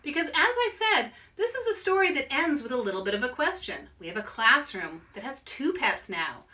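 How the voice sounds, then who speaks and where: A woman hosting a children's story time as an author, giving simple, encouraging call-and-response instructions with animated, repeated action words. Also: high-pitched, normal speed. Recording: roomy echo, distant microphone.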